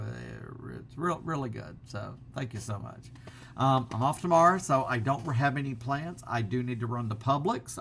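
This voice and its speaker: A man's voice: medium pitch, gravelly, a bit bright. A middle-aged man talks loosely into a laptop camera mic at home, his voice sitting in an ordinary middle register. He rambles casually, full of ums and restarts, over a faint background hum. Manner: rambling, many fillers and restarts. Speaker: vlogger. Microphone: built-in laptop camera mic.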